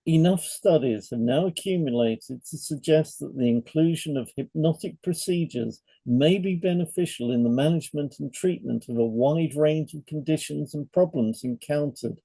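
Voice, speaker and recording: A man narrates an educational podcast from a script. His voice is mellow and relaxed, with a noticeable gravelly fry. He explains things in a measured way, in a very dry acoustic. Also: mid-range voice, no room sound, rough recording. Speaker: podcast co-host, hypnotherapist.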